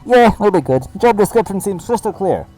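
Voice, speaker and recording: A man's voice: high voice, lively intonation, fairly quick, dark tone, soft background music, voice pushed up, rough recording. A man voicing a nervous, goofy male hooded minion who stammers. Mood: jittery.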